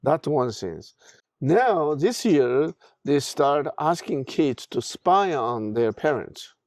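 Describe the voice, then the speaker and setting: A middle-aged man with a Tibetan accent, whose first language is Tibetan, speaks as a podcast guest, answering haltingly with many restarts and fillers. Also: on the high side, big pitch swings, darkish tone, dry recording, clean studio sound. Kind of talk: interview answers.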